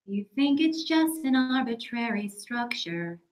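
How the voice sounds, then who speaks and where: A woman's voice: fairly high pitch, expressive, unhurried, a little mellow. A woman co-hosting a podcast who laughs, swears and jokes about her own singing in a studio. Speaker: podcast co-host. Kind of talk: casual chat, singing a comic song with co-hosts.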